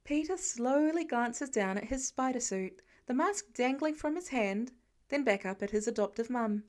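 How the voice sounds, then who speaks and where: A woman's voice: on the high side, expressive, moderate pace. A woman reading a story aloud as scripted narration. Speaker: podfic narrator.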